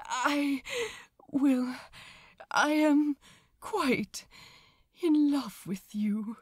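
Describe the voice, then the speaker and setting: A woman's voice: sits high, very slow, no echo, clean studio sound, voice pushed up. A woman voicing an anxious, formal British male angel in an audiobook, earnest and lovestruck, speaking precisely and hesitantly. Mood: tender confession. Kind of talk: audiobook character dialogue.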